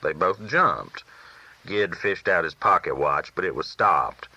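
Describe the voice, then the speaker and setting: A man's voice: low-pitched, expressive, unhurried, bright, forceful tone, husky, dry recording, muffled recording. A man reading a first-person novel aloud as a folksy storyteller. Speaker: audiobook reader.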